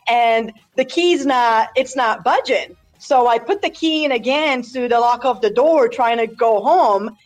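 A woman from Poland tells her personal story as a podcast guest. Her voice sits a little high, and she speaks candidly and energetically, with lots of fillers. Faint music plays behind her. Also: measured pace, hardly any pauses, poor audio. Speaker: podcast guest, job promotion coach. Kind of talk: personal life story in a casual interview.

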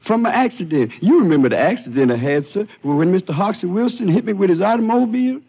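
A high-voiced, earnest little drifter, pleading and eager to be believed. His husky voice swoops up and down in a deferential, folksy way. It sounds like a muffled 1950s radio western. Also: animated, fast talker, heavily acted. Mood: nervous. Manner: folksy, deferential, ungrammatical drawling.

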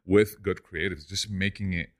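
Deep-voiced young man, laid-back. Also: moderate pace. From Lebanon. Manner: relaxed, says "dude" and "man" often. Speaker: guest, e-commerce entrepreneur. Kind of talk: casual podcast interview.

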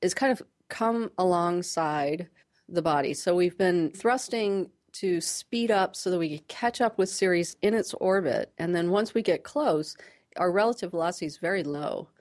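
A woman with a slightly low voice explains science as an interview guest. She is measured and clear in her wording, pausing mid-phrase now and then as she picks her terms. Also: darkish tone.

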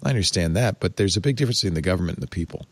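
A man in his sixties hosts a relaxed talk show with easy, chatty warmth in his delivery. His pitch swings widely as he talks, and the recording is dry and close with no room sound. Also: no echo. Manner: animated, chatty, quick to laugh. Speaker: podcast host. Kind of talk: casual chat.

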